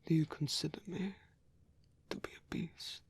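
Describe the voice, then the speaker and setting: A man voicing a lonely, gentle old vampire in an ASMR roleplay, with a husky, breathy whisper and halting, formal, musing speech. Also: a little high, steady tone. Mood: wry, gentle. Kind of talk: ASMR roleplay audio drama.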